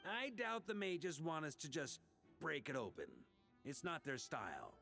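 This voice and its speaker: An easygoing young male bard for an anime-style game. The voice is bright and fairly high, with very animated pitch and a playful, casual manner, over soft music. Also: a little slow, gravelly, exaggerated voice. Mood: matter-of-fact.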